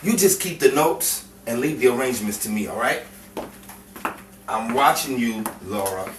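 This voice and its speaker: A man's voice: medium pitch, animated, moderate pace, lightly acted. A man playing a cocky, menacing gangster boyfriend with slangy tough-guy talk in a 1950s crime melodrama. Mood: smug, threatening. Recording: roomy, distant mic, faint noise.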